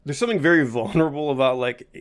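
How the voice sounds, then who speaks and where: A man's voice: on the high side, very fast, clear, resonant tone. A man co-hosting a podcast, reflecting candidly in casual chat and searching for his words. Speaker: podcast co-host.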